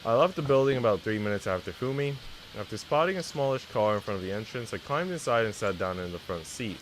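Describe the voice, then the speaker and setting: Low-voiced man streaming over background noise. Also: expressive, quick pace, low-quality recording. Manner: slangy, teasing. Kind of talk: reading a visual novel aloud, casual chat with viewers.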